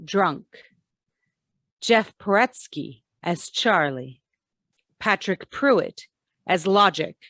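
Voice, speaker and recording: A woman's voice: lowish voice, lively intonation, very slow, pauses often, a bit bright, no room sound. A woman hosting an old-time radio drama, reading scripted announcements. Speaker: host and announcer.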